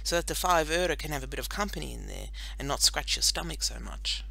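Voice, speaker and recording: A woman's voice: sits low, lively intonation, fast, gravelly, sharp tone, full character voice. A woman voicing a kindly, good-humoured doctor in a storybook, reassuring and playful.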